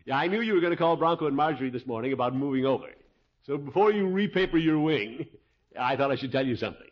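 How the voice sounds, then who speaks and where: Blustery scheming uncle, muffled old radio. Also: male voice, a little high, expressive, quick pace. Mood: smug. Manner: chuckling, blustery, exclamatory. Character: scheming, blustery middle-aged family uncle, pleased with himself. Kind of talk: 1950s radio situation comedy acting.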